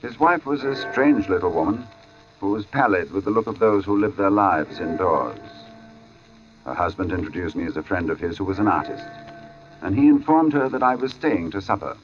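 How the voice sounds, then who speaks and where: An actor playing an uneasy artist who gravely recounts an eerie encounter in a 1940s-style radio drama. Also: male voice, deep voice, animated, moderate pace. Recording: dull old radio recording with music.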